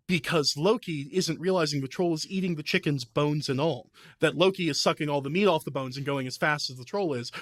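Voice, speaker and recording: A man's voice: on the high side, fairly bright tone, no echo, studio quality. A man speaking as a podcast guest and game master, explaining fantasy lore casually in an enthusiastic, rambling way.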